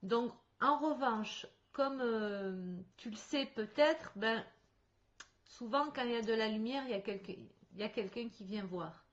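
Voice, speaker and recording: A woman working as a medium in a spirit contact session over a video call. She talks in halting restarts and laughs often. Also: a little high. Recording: slightly dull video call audio.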